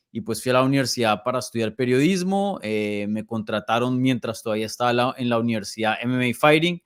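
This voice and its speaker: Smooth-voiced Colombian man in his thirties. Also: mid-range voice, fairly bright tone, no echo, studio quality. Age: early 30s. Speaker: podcast guest, MMA journalist. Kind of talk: casual interview conversation.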